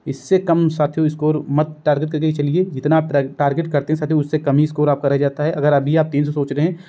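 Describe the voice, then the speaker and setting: The recording is a little muffled and lo-fi. A man with a fairly high but mellow voice gives an informal coaching lesson. He is instructive and keeps addressing his listeners as friends. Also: flat delivery, fast talker. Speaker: exam-coaching teacher and channel host. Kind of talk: informal strategy lesson to students. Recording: dull, lo-fi sound.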